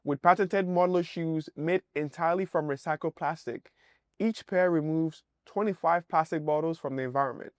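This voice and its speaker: A man's voice: on the high side, darkish tone, no room sound. Upbeat, dry-sounding male podcast host. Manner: upbeat, hype, emphatic. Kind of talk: enthusiastic show intro and interviewing.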